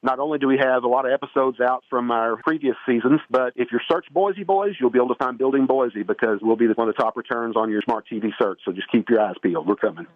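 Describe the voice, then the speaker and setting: A man's voice: medium pitch, fast, few pauses, no echo. Man on a tinny phone line, folksy. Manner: folksy, rambling with many restarts and fillers. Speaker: home improvement expert guest. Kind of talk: casual radio interview.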